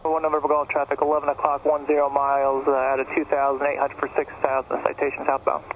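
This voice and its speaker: A man's voice: mid-range voice, monotone, fast talker. A man working as an air traffic controller, giving clipped instructions over aircraft radio. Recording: tinny narrow radio with clear noise.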